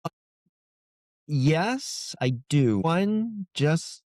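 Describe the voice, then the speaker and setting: A man's voice: on the high side, lively intonation, drawn-out words, darkish tone, dry recording, studio quality. A man speaking as an author guest in a casual interview, earnest and reflective. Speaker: guest, indie fantasy author.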